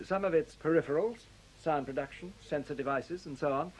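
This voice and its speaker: A man's voice: fairly high pitch, fairly quick, darkish tone, lightly acted, rough recording. An actor in a radio play voicing a fussy, eager male computer engineer who explains things politely and a little hesitantly. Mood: eager explaining. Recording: faint hiss on old radio recording.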